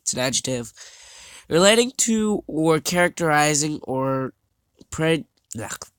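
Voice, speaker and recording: A young man vlogging over slides, talking haltingly with many fillers and restarts, his voice a little scratchy and his nose stuffed up. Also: a little high, lively intonation, fairly bright tone. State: congested, slightly scratchy voice. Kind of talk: casual talk over slides.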